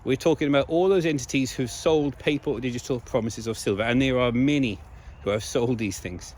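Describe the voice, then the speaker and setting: Slightly hoarse man vlogging outdoors. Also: medium pitch, fairly quick, darkish tone. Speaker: video blogger. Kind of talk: casual monologue to viewers.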